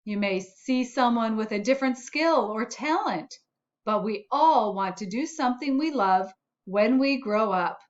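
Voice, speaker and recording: A woman's voice: fairly high pitch, animated, unhurried, lo-fi sound. A woman voicing a friendly, encouraging little spot character from a children's storybook. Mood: upbeat, encouraging.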